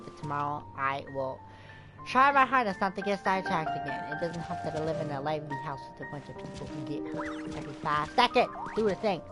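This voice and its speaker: Low-voiced woman streamer over loud music. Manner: rambling, thinking aloud. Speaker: gaming streamer. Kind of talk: casual gameplay commentary. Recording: loud game music behind. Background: game music.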